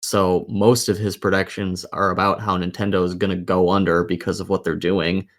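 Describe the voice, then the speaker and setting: A man's voice: deep voice, level tone, moderate pace. A man hosting a casual gaming podcast, opinionated and swearing freely as he talks. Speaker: podcast host.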